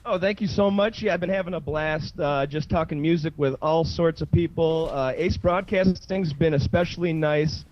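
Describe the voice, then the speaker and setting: A man's voice: fairly high pitch, moderate pace, hardly any pauses, muffled recording, a little hiss or hum. A man hosting a casual podcast interview, friendly and fan-like, with frequent fillers. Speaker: podcast host.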